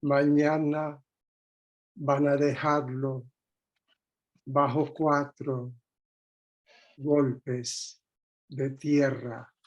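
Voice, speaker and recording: Man reciting very slowly and flatly. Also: medium pitch, highs cut off. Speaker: poetry reader. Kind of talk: poetry recitation.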